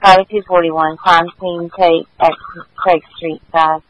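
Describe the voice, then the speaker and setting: A man working as a police dispatcher, speaking in brisk, formulaic radio procedure with a businesslike manner. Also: a little high, flat delivery, a bit bright, rough recording.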